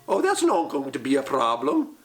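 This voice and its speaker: A man's voice: mid-range voice, wildly swooping pitch, normal speed, soft background music, voice pushed down. A man voicing a tired touring cyclist asking politely for shelter. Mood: apologetic. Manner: polite, apologetic.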